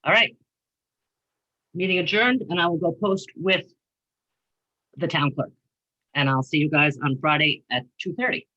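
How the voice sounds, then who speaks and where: Woman chair, firm and businesslike, bright voice on muffled video-call audio. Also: low-pitched, moderate pace, pauses often. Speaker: commission chair. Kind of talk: running a public meeting.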